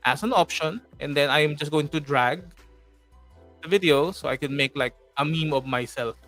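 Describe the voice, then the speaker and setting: A man's voice: sits high, a bit bright. A man with a Filipino accent hosting a live screen-share tutorial, chatty and full of fillers, with joking asides to viewers. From Philippines. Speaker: host.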